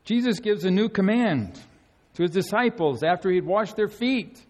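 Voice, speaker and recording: A male pastor preaching an earnest sermon, his voice climbing high to stress key phrases. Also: animated, moderate pace. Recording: long hall reverb.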